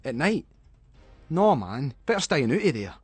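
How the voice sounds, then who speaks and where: A man's voice: fairly high pitch, big pitch swings, a little slow, husky, big-room sound, faint music behind, heavily acted. A Scottish man voicing an uneasy, defensive teenage boy who hides his fear, in a storybook reading. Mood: uneasy, protesting. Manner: defensive, protesting.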